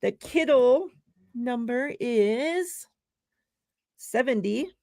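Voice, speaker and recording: A woman's voice: on the high side, expressive, very slow, darkish tone, no echo, studio quality. A woman hosting a casual live-stream chat, laughing often and reading out giveaway numbers. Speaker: show host.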